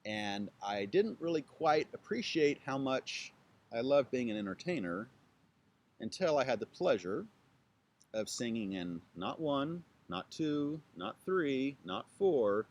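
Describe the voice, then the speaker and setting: A chatty man introduces himself to camera from outdoors, his pitch swinging up and down as he talks. He has a playful, joking way of speaking and spells out words slowly, one letter at a time. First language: English. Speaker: YouTube channel host. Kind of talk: casual self-introduction to camera. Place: outdoors with trees behind.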